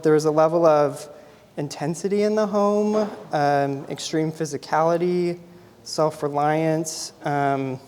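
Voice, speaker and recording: A soft-spoken young man from Idaho gives an informal artist talk about his photography, pausing often with "um.". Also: on the high side. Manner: soft-spoken, many ums. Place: gallery. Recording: long hall reverb.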